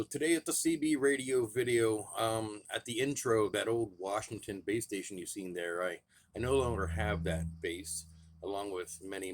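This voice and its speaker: A man with a somewhat low voice talks in an easygoing, unscripted way. He thinks aloud as he works, like a hobbyist showing his bench project to viewers. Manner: relaxed and chatty, thinking aloud while tinkering. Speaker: radio hobbyist vlogger. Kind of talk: casual how-to vlog.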